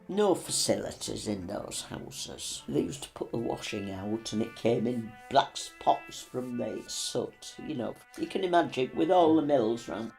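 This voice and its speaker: A woman's voice: very deep voice, gravelly, a little mellow. A woman, a former mill worker reflectively recalling daily life. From West Yorkshire. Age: 80s. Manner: reflective, unhurried storytelling. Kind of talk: interview reminiscence. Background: violin music.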